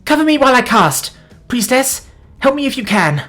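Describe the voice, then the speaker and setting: A man's voice: very high-pitched, very animated, moderate pace, bright, forceful tone, soft background music, voice pushed up, heavily acted. A man voicing an urgent, commanding female spellcaster in an audiobook.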